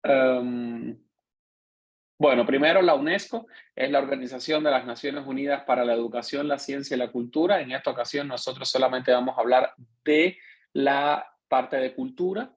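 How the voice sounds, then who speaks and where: Husky Cuban-accented man lecturing. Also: medium pitch, normal speed. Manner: explanatory, checks in with listeners by tagging questions. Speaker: course instructor. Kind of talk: online lecture.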